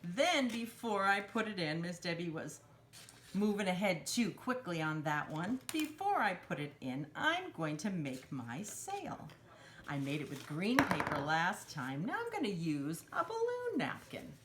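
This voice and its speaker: Woman with lively pitch hosting kids' craft time. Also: measured pace, a little hiss or hum. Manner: simple instructional phrasing, refers to herself in third person. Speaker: children's storytime craft host. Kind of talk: step-by-step craft demonstration for young children.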